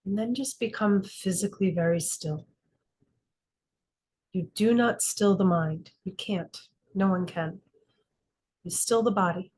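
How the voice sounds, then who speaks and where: Slow, deliberate woman guiding meditation. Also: mid-range voice, breathy edge. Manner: slow, deliberate phrasing with frequent pauses; calm, instructive. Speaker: meditation teacher. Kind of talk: guided meditation. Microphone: video call class.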